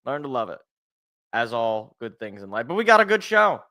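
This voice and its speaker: An American man with a slightly raspy, congested voice, hosting a casual solo sports talk show in a rambling, jokey way that repeats phrases for emphasis. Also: mid-range voice, big pitch swings, normal speed, pushed and bright. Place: indoors at home.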